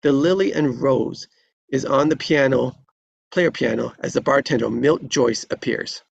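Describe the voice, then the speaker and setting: A man's voice: mid-range voice, unhurried, gravelly, slightly rough, lo-fi sound. A man reading stage directions as narrator in a live screenplay table read.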